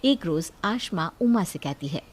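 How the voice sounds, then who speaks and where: A woman's voice: lowish voice, animated, unhurried. A woman storyteller reading a scripted moral story as narrator.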